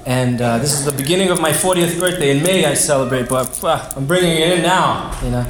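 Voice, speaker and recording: A man's voice: on the high side, fairly quick, roomy sound, background noise. A man in his late thirties from New York City, telling his recovery story at a twelve-step meeting, rambling with lots of fillers and restarts and laughing at himself. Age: 30s. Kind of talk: personal recovery share.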